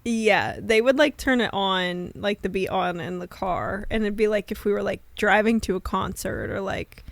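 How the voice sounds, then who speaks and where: A woman's voice: mid-range voice, normal speed, creaky, no echo. A woman in her twenties co-hosting a casual podcast, chatting loosely with lots of fillers and frequent laughter. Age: 20s. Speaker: podcast co-host. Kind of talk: casual chat. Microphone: studio mic with headphones.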